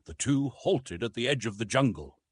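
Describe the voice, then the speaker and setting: A man's voice: mid-range voice, expressive, normal speed, breathy edge, dry recording, clean studio sound. A man giving scripted audiobook narration of a classic adventure novel.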